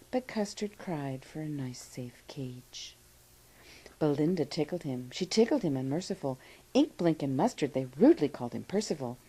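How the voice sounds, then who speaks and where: A woman's voice: low-pitched, mellow, slightly rough, rough recording. A woman reciting a storytelling poem for children as a reader. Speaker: poetry reader.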